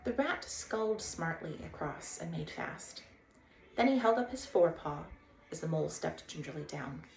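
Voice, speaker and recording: A woman narrates a children's story in a roomy, echoing space with faint noise. Her voice sits slightly low and has plenty of creak. She reads expressively, as the host of a read-aloud. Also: expressive, moderate pace, darkish tone.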